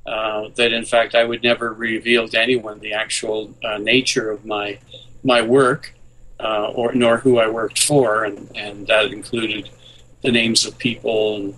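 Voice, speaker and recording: A man's voice: fairly low pitch, little pitch movement, unhurried, a bit bright, a little hiss or hum, rough recording. A man in his sixties, born in Scotland, talking as a radio guest with wry asides and many hesitant fillers. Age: 60s. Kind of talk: casual interview talk.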